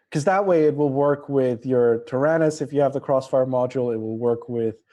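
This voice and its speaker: A man's voice: mid-range voice, mellow. A man who grew up in Singapore, with a somewhat American accent, talking informally and bluntly as a guest in a casual livestream interview, rambling as he goes. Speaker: interview guest, drone company representative.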